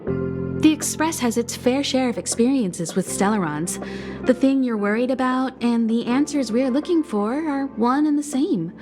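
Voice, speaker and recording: A woman playing a composed, kindly navigator in a video game, speaking with measured poise. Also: fairly high pitch, lightly acted. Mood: reassuring. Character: composed, mature, kindly female space-train navigator. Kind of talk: video game cutscene acting. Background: music.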